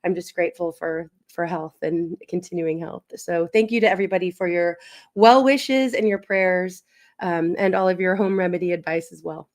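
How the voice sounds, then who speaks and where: A woman chats in an unhurried way as host of a livestream. Her voice is hoarse and raspy, with a slight wheeze left over from being sick. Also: lowish voice, fairly even intonation, darkish tone. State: hoarse, recovering from illness. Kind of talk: casual welcome chat on a livestream.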